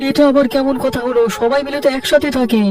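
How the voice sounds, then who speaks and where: A man plays a chummy, inquisitive young cartoon co-worker. His voice is pushed very high, concerned and quick, a little distant over music. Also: flat delivery, a little mellow. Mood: curious, concerned. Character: nosy, concerned young male office buddy. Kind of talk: cartoon dialogue acting.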